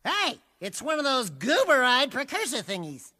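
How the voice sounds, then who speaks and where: A man's voice: extremely high pitch, wildly swooping pitch, normal speed, heavy background noise, heavily acted. A man voicing a cocky, wisecracking small animal sidekick in a brash, cartoonish video game style. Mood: indignant. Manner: brash, mouthy, slangy.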